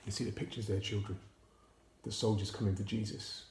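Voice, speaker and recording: Man softly reading a children's story. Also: lowish voice, a little fast, gravelly, slight background noise. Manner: hushed, expressive, dropping to whispers. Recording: roomy echo.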